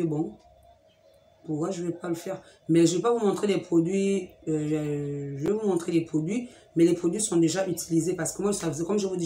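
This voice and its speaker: A young woman with a cold hosting a chatty beauty vlog, talking straight to her viewers in a lively, teasing way, at home. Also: deep voice, reverberant, slight background noise. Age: young adult. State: has a cold. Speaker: beauty vlogger. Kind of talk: chatty direct-to-camera vlog. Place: room at home with a fridge and sofa.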